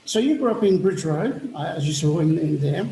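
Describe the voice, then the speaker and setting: A man's voice: a little high, animated, moderate pace, vocal fry, warm tone, roomy sound, a little hiss or hum, rough recording. A man interviewing an author, with encouraging praise and questions. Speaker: interviewer for a historical society.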